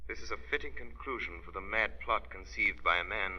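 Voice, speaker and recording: An actor playing a calm, authoritative gentleman hero who speaks firmly and solemnly, in 1930s radio drama acting. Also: fairly low pitch, a little fast, breathy edge, muffled recording, full character voice. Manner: firm, commanding, then solemn moralizing.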